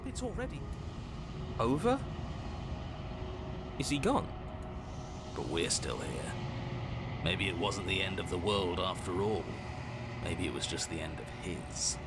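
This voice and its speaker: An actor voicing a visual novel's storyteller and inner voices in a theatrical, expressive manner. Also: male voice, low-pitched, measured pace, long pauses, very noisy. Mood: dismayed. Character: shifting inner voices: stern storyteller, anxious hero, cynic. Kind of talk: dramatic visual novel narration and dialogue. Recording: music behind the voice.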